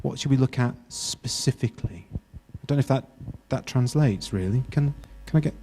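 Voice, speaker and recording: British male facilitator, mellow, encouraging, halting delivery, faint mains hum. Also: medium pitch. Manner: encouraging, halting with many restarts. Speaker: workshop facilitator. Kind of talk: interactive workshop teaching. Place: university hall workshop.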